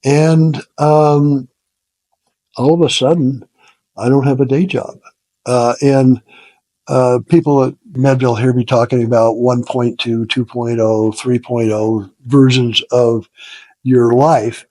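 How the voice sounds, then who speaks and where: A man in his seventies telling his story as an interview guest, casual and reflective, with a halting, self-deprecating way of talking and frequent chuckles. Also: medium pitch, flat delivery, dark tone, no echo, poor audio. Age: 70s. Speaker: interview guest, retired business founder.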